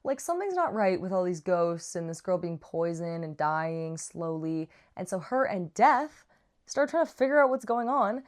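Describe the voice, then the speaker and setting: A woman's voice: fairly low pitch, big pitch swings, normal speed. A woman giving a casual, chatty book review with slangy asides and playful, dramatic emphasis. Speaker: book reviewer, vlog host.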